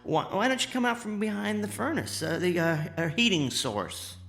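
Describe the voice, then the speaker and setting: A man playing an excitable, kindly amateur inventor in a radio drama, stammering and folksy. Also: high-pitched, moderate pace, creaky, heavily acted. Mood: awkward. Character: excitable, kindly amateur inventor, male former teleportation engineer.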